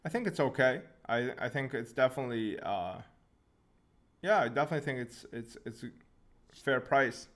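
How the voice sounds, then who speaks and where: A relaxed young man hosting a live chat stream, answering questions off the cuff. He talks at a middling pitch, laughs often, and repeats words as he starts sentences. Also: normal speed. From Syria, raised in Canada.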